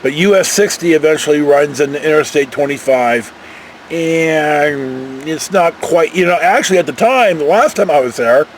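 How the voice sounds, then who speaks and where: An older American man telling travel stories casually, in a folksy, meandering way with animated emphasis. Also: fairly high pitch, moderate pace, slight background noise. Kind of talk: casual rambling storytelling.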